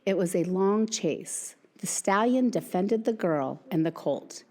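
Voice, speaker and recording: A woman reading a picture book aloud in a measured, careful way. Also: on the low side, animated, warm tone.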